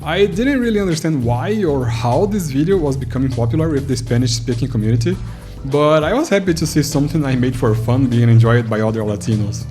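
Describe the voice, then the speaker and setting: A man's voice: medium pitch, animated, fairly quick, dark tone, soft background music. A Brazilian man in his thirties whose first language is Portuguese, narrating an earnest retrospective video in English. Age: 30s. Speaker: YouTuber.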